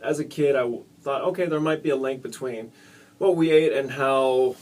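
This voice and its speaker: A man's voice: mid-range voice, moderate pace, darkish tone, poor audio. A young man born in Hong Kong, a fitness coach answering interview questions casually, with frequent ums. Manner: casual, laid-back, many ums and uhs.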